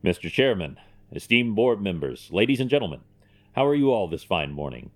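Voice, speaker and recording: An actor playing a stern, principled billionaire vigilante in an audio drama, speaking in a measured, deliberate, speechifying way. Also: male voice, fairly low pitch, sing-song. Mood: formal, assured.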